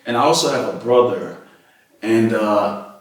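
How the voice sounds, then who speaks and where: A man's voice: fairly low pitch, lively intonation, unhurried. A man from Lagos, Nigeria, speaking as an interview guest and telling personal stories in a conversational way. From Lagos, Nigeria until age nine. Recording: very echoey room, distant microphone.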